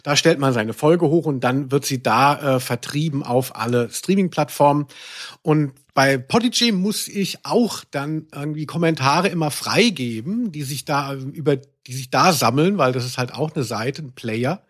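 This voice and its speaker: A middle-aged man talks casually as a podcast host. His voice sits a little high, and his way of talking is playful and tongue-in-cheek. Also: animated, normal speed. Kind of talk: casual chat.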